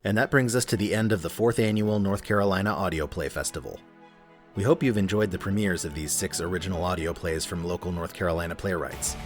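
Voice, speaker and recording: A man's voice: deep voice, fairly even intonation, fairly quick. A man reading scripted closing credits as the announcer. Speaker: announcer, sound designer. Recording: soft theme music behind.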